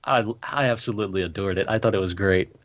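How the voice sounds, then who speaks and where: A man's voice: deep voice, fast, dull audio. A man co-hosting a podcast with casual, playfully contrarian banter. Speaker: podcast co-host.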